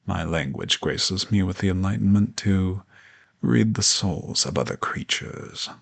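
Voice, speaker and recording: Audio roleplay: male dragon professor, man acting, ancient and condescending, deep gravelly growl, pompous formal diction. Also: expressive, a little slow, heavily acted. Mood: haughty, mocking. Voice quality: gravelly, husky low voice. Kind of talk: scripted audio roleplay monologue addressed to the listener.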